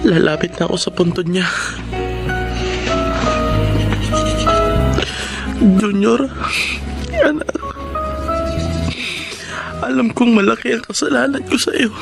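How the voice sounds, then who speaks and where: A man's voice: high-pitched, measured pace, creaky, pauses often. A man playing a remorseful young father in a radio drama, pleading and earnest, with music under the voice. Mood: grieving. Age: young adult. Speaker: narrator and lead in radio drama.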